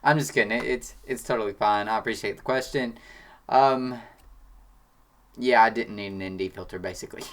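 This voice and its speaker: Teen photography vlogger, Kentucky country accent, chatty and excitable, talking from a home office. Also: male voice, fairly low pitch, fairly quick. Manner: excitable, chatty, defensive then joking. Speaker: photography channel host. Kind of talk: casual Q&A reading comments.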